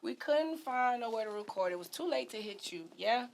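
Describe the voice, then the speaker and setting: A woman who works in A&R, chatting casually as an animated, slangy storyteller. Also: on the high side, moderate pace, vocal fry, noisy background. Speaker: A&R, guest. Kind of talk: casual chat.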